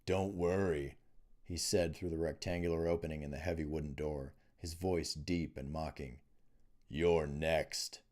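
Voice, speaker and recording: A man narrates a fantasy novel in a low register. His tone is mellow and soft-edged, delivered as scripted storytelling by an author. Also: fairly even intonation, brisk, hardly any pauses. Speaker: author reading own book. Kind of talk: audiobook narration of fantasy fiction.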